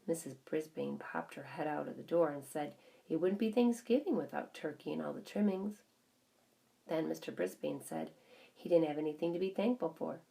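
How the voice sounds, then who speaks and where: Low, creaky woman reading a story. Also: moderate pace, warm tone, poor audio. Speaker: audiobook reader. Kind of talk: scripted children's story narration.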